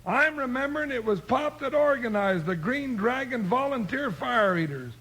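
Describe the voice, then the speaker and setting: Big folksy deputy sidekick, very high husky voice, homespun, earnest, 1950s radio western. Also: male voice, expressive, normal speed, a bit bright, full character voice, low-quality recording. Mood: earnest, stubborn. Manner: drawling frontier slang, plain-spoken and earnest.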